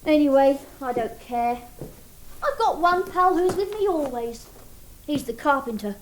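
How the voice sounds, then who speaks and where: A precocious English boy in a radio drama. His voice is high and swoops up and down as he pronounces with pompous, defiant earnestness. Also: lively intonation, unhurried, slight background noise, poor audio. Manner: declaiming, mock-formal, insistent. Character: precocious, theatrical young schoolboy son, earnest and defiant. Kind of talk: radio play acting.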